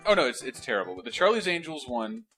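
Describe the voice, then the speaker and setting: A man's voice: medium pitch, fast talker, soft background music. A man hosting a casual video game podcast, joking and setting up clips. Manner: animated, joking, plays clips. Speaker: podcast host. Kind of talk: casual gaming podcast chat.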